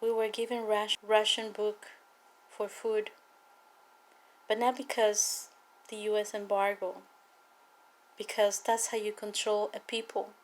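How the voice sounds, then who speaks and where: A woman from Cuba with a Cuban accent, a native Spanish speaker, giving an earnest, emphatic personal testimony and political appeal with deliberate repetition. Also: medium pitch, flat delivery. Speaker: political activist speaking to viewers.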